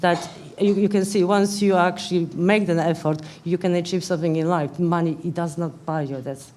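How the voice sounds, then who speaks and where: A woman from Poland with a Polish accent answers questions as a panellist at a public debate, in earnest, halting phrases. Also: fairly low pitch, steady tone, a little mellow. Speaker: political panellist. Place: live public question-time event indoors.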